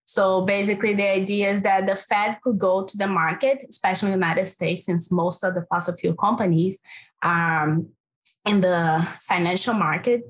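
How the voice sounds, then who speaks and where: A woman speaks with a Brazilian accent, laying out her research as an interview guest. Her voice sits at a middle pitch, and she talks earnestly, pausing on fillers and restarting phrases as she thinks. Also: fairly even intonation. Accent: Brazilian Portuguese. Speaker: climate policy researcher. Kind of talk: interview answer.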